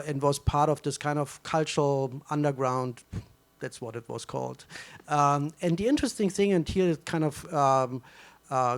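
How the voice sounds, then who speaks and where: German-accented man, hesitant speech. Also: a little high. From East Germany. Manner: hesitant, many fillers and restarts. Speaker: panelist, history professor. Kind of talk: panel discussion.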